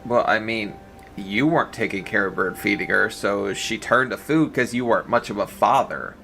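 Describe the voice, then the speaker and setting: A man's voice: fairly low pitch, moderate pace, vocal fry, bright, forceful tone, a little hiss or hum. A man giving casual live reaction commentary in a blunt, exclamatory, foul-mouthed way. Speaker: reaction streamer.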